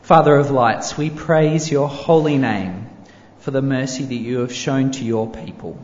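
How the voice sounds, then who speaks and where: A male pastor prays aloud with a measured, solemn cadence and a mellow, dark tone. The voice rings through a large church hall with long reverb, over faint room ambience, and sounds somewhat muffled. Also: medium pitch, a little hiss or hum. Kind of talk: public prayer.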